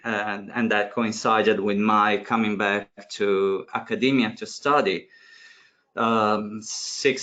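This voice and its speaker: A man's voice: on the low side, fairly bright tone. A man in his forties, a course leader, introducing himself informally and stopping and restarting often. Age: 40s. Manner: halting, frequent restarts and fillers. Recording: dull lo-fi audio.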